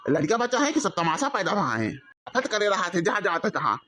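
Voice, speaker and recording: A man with an Awadhi accent voicing a grumpy, threatening village father in a comedy cartoon, gruffly scolding and repeating himself. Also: high voice, big pitch swings, brisk, pushed and bright, exaggerated voice. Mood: irritated.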